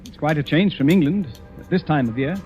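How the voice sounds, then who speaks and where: A man's voice: on the high side, a little fast, a little mellow, faint music behind, close to an everyday voice. An actor playing a composed, courteous titled host who slyly needles his guest, in old-time radio drama style. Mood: cool, feigned concern. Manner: courteous, composed, commanding with servants. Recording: dull vintage radio recording.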